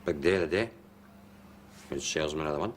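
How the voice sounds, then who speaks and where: An actor playing a low-key, encouraging friend, in natural drama dialogue. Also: male voice, expressive, fairly quick, a little hiss or hum. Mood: friendly, low-key.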